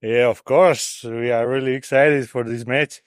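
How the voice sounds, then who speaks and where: A Slovak football fan, a man with a Slovak accent speaking halting English as a second language, repeating words as he searches for them. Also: mid-range voice, steady tone, dry recording, clean studio sound. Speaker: football fan interviewed before a match.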